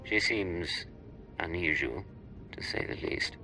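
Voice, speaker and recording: A composed male spy in a game cutscene. The voice is very low and strikingly sharp with a gravelly creak, measured and probing. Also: animated, faint music behind, heavily acted. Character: composed, commanding male imperial secret agent. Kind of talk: video game cutscene dialogue.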